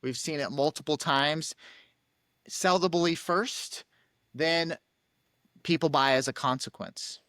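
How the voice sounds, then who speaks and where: A man's voice: on the high side, slow pace, pauses often, fairly bright tone, no echo, clean studio sound. A man hosting a podcast, chatting casually and reacting with enthusiastic affirmations. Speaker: podcast host.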